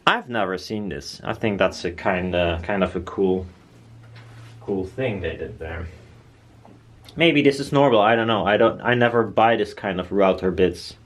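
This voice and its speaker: A man's voice: fairly low pitch, normal speed. A man talking casually and bluntly as he presents his own DIY build, rambling informally. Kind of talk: casual workshop chat.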